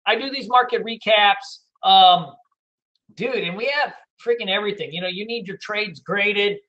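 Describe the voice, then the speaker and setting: A middle-aged man teaching day trading in a casual, loud and blunt way, hooting and swearing as he talks over a screen share. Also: high-pitched, moderate pace, muffled recording. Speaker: trading educator.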